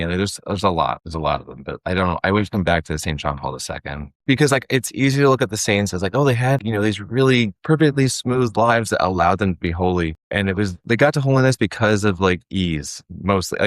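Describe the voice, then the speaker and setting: A man with a low voice talks as an interview guest. He is earnest and conversational, and the sound is dry and close. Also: animated, fast talker, few pauses, dry recording. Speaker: podcast guest, audio drama creator.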